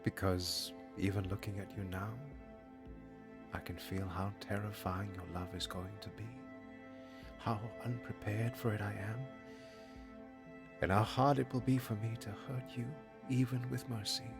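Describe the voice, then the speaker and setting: A man playing a charming, guarded musician and lover in an intimate audio drama, soft-spoken and flirtatious. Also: fairly low pitch, level tone, a little slow, music in the background. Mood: tender, earnest.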